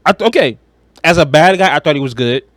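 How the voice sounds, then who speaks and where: A man with a notably high voice talks as a podcast co-host in casual film chat. He is blunt and animated, leans on slang and swearing, and the sound is dry and close. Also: fast talker, no room sound. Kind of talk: casual chat about films.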